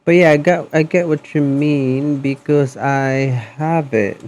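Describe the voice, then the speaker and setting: A man chatting casually on a game livestream in a hesitant, halting manner. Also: a little high, fairly even intonation, darkish tone. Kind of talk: casual stream chat.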